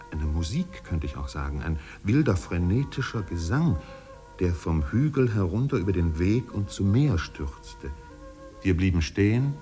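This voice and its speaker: A man playing a melancholy, lyrical narrator in a 1950s German radio drama, speaking in a measured, poetic, solemn way. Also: sits low, mellow. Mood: solemn, haunted. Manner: measured, poetic, solemn delivery with drawn-out phrases. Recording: 1950s radio studio production.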